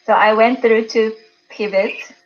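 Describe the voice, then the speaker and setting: A woman in her thirties, originally from Japan, speaks in a slow, unhurried way with frequent soft laughs between phrases. Her voice comes through slightly dull, lo-fi audio. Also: on the high side, fairly even intonation. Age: 30s. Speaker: guest. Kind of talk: interview answers.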